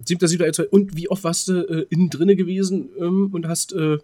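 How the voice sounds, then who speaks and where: A man's voice: sits high, steady tone, fast talker, dark tone. A man co-hosting a podcast in casual comedic banter, with a colloquial, joking way of talking and mock-formal asides. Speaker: podcast co-host.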